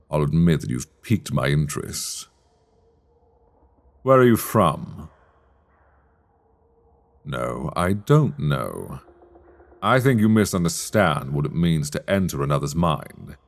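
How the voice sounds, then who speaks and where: A man performing older male sorcerer, very low creaky voice, silky menace, teasing condescension, fantasy ASMR roleplay, long cavernous reverb. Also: big pitch swings, heavily acted. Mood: dry, haughty. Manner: deliberate, condescending, silky, teasing, formal diction. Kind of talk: slow dark fantasy ASMR roleplay acting.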